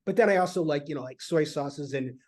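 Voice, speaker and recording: Rambling man with a higher voice. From Michigan. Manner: rambling, halting with many fillers. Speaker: guest. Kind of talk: casual chat.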